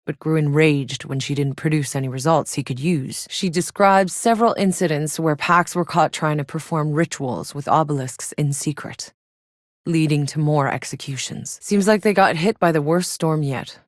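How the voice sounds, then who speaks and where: A woman's voice: deep voice, moderate pace, dry recording, studio quality, natural voice. An actress playing a tough, sardonic female soldier and explorer in a sci-fi video game, blunt and matter-of-fact. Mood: grave, reflective. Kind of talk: scripted video game character voice lines.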